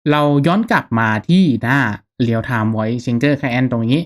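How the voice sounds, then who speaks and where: A man narrating a casual step-by-step software tutorial in an informal, instructional manner. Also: medium pitch, slow. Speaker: tutorial host.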